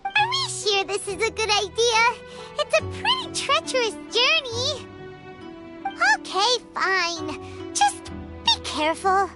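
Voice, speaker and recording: A tiny childlike fairy sidekick in a video game. The voice is extremely high and squeaky, swinging animatedly with an anxious, chatty manner, over prominent music. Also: female voice, big pitch swings, slow, exaggerated voice. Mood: curious, anxious. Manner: squeaky, refers to self in third person.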